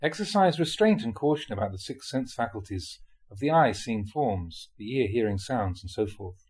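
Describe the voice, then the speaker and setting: Man with expressive, slightly husky reading voice. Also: mid-range voice, normal speed. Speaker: monk reading dharma talks aloud. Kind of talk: reading a spiritual talk aloud.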